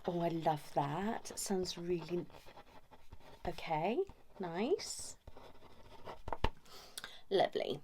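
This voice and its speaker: A woman speaking softly and often whispering in an ASMR role-play as a chatty couples therapist, gently scolding. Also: fairly low pitch, very slow, long pauses, a little hiss or hum. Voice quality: soft, breathy, often whispered. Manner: chatty, mock-concerned, gently scolding, hushed. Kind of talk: ASMR role-play, one-sided improvised conversation.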